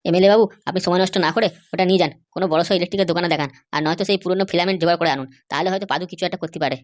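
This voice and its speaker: A woman's voice: lowish voice, very fast, no room sound, close to an everyday voice. A woman voicing a blunt, sensible older-brother type of man, firm and dismissive, in a comedy cartoon.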